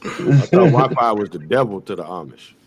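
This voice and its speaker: A man's voice: fairly low pitch, big pitch swings, moderate pace. Joking man with crowd chatter behind. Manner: joking, profane slang. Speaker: panel participant. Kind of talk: casual banter.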